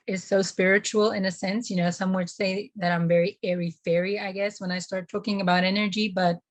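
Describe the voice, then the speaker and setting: A young woman, earnest and gentle, sharing personal experiences in a casual chat. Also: mid-range voice, dry recording. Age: young adult. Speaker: guest.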